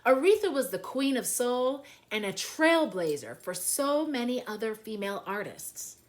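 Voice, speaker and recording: A woman reading a children's picture book aloud in an expressive storytelling style, phrasing deliberately and leaning on key words. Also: on the high side. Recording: music behind the voice.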